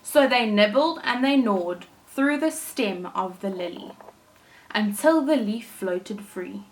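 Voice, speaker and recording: A woman with a mid-pitched voice reads a storybook to young children at a slow pace. She sounds like a primary teacher, deliberate and expressive, leaning on key words for drama. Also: rough recording. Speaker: primary school teacher reading to her class.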